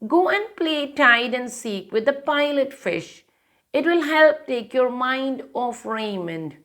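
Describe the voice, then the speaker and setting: A woman voicing a gentle, comforting mother shark in a children's storybook. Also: sits high, animated, slow, exaggerated voice. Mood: coaxing.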